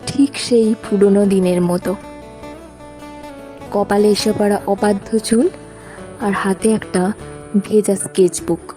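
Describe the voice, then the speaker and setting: A woman's voice: medium pitch, steady tone, slow, long pauses, dark tone, faint music behind. A woman reading scripted narration for a romantic audio story.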